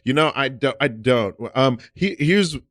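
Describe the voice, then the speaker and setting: A man's voice: mid-range voice, animated, unhurried, a bit bright, dry recording. A man in his late thirties hosting a podcast in a studio, chatting casually and rambling through restarts and asides. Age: 30s. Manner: rambling, restarts, plenty of "you know what I mean". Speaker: podcast host. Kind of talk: casual chat.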